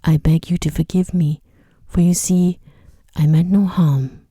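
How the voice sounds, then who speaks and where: A humble merchant father from a bedtime fairy tale, voiced by a woman, pleading and fearful. The voice is low for a woman, soft and breathy, recorded very dry and close. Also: fairly even intonation, unhurried, no echo. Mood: sorrowful, humble. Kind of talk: bedtime storybook reading.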